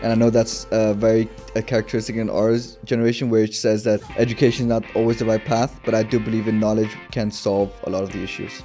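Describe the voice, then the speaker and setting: A man's voice: on the low side, little pitch movement, fast, few pauses, mellow, soft background music. A man in his twenties from Denmark, a startup founder, explaining his views step by step as a guest in an interview. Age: 20s.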